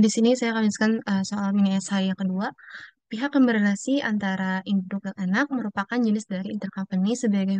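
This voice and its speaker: A woman's voice: mid-range voice, a little mellow, no echo, highs cut off. A young woman explaining prepared material as a student presenter. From Indonesia. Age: young adult. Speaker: university accounting student presenting.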